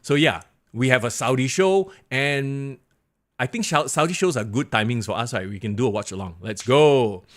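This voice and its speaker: A young man with a Singaporean English accent hosting a wrestling podcast alone, reading viewer comments in an excitable way, his voice going hoarse. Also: normal speed. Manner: excitable, animated, drops to low mutters. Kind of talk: solo live-stream chat reading viewer comments.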